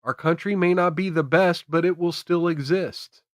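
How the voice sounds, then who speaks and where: A man's voice: fairly high pitch, normal speed, dry recording, clean studio sound. An American man hosting a political commentary stream, speaking emphatically and repeating phrases for effect. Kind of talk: opinionated political commentary to camera.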